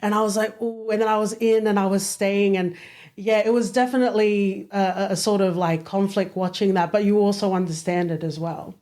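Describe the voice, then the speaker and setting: A woman's voice: mid-range voice, steady tone, brisk, darkish tone. A woman hosting a podcast, asking thoughtful questions in a chatty way with many fillers. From Australia. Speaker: podcast host. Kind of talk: casual interview questions.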